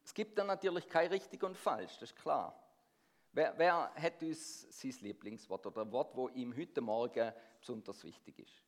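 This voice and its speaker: A man's voice: mid-range voice, expressive, normal speed. A middle-aged man from near Stuttgart with a Swabian accent tinged by Swiss dialect, preaching a sermon and drawing the congregation in with questions. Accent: Swabian-tinged Swiss German. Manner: engaging, asks the congregation questions.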